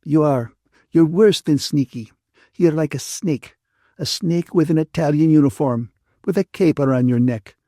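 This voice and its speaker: A bitter, indignant woman from a novel, acted by a male narrator, scolds in a raised pitch. The delivery is emphatic and aggrieved, close to tears, with a dry studio sound. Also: normal speed, mellow, dry recording, lightly acted. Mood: indignant, scornful.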